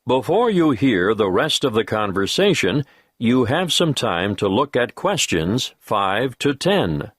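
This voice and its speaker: A man reading listening test instructions in a measured, formal way. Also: medium pitch, big pitch swings, a bit bright, no room sound, clean studio sound. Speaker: exam narrator.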